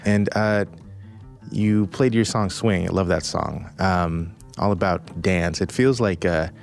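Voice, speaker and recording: A man interviewing a musician in relaxed, chatty conversation. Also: deep voice, slow, vocal fry, soft background music. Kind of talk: casual interview.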